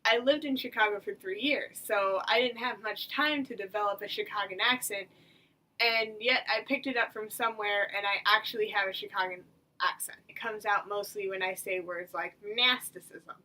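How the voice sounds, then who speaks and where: A woman's voice: mid-range voice, fairly even intonation, moderate pace, sharp tone, far from the mic, poor audio. A young woman of about eighteen from the United States, talking casually to her camera as a vlogger in a chatty, rambling, self-mocking way. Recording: voice a little away from the mic.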